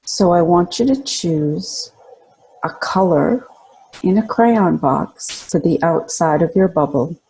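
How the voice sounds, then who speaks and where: A woman leading a guided imagery meditation in a calm, soothing, instructional way, leaving pauses between phrases. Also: fairly low pitch, gravelly, breathy edge, rough recording.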